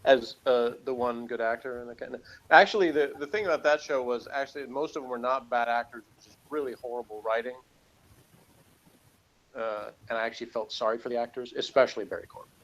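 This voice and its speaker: A man's voice: mid-range voice, steady tone, fast, fairly bright tone, poor audio. Man chatting casually, wry and opinionated. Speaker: co-host reviewing a TV episode. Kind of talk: casual chat, TV episode review.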